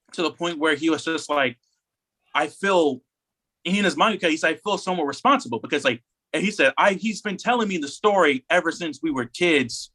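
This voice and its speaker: Bright, higher-voiced man chatting on a podcast. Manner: animated storytelling, acts out quotes in slangy speech. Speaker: podcast co-host. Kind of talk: casual podcast discussion.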